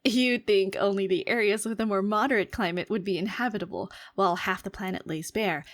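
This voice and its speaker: A woman's voice: medium pitch, close to an everyday voice. A woman playing an alien head scientist in a scripted audio roleplay, briefing her commander in a formal, earnest, analytical and emphatic way. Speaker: voice performer. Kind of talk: scripted fictional ASMR roleplay monologue.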